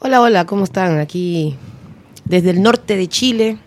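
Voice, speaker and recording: A woman from Peru introducing herself on the radio in a lively way, lifting her pitch on key words. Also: unhurried. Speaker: radio guest.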